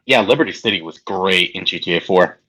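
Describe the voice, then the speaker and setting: A man's voice: deep voice, fairly quick, pushed and bright. A man co-hosting a podcast, talking informally and casually about video games. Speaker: podcast co-host.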